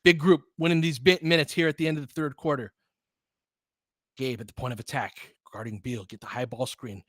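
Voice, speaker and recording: A man's voice: medium pitch, steady tone, brisk, fairly bright tone. A man with an American accent gives casual live basketball commentary, mixing clipped play calls with informal, chatty asides. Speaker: fan host doing play-by-play commentary.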